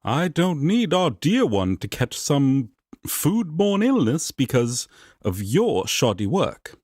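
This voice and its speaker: A man acts out a fussy, caring angel roommate in an audio roleplay. His husky voice rises and falls dramatically as he picks out his words slowly. The delivery is formal and a little disapproving, close and dry. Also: fairly high pitch, sing-song, darkish tone, no room sound, exaggerated voice. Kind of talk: fan audio roleplay acting.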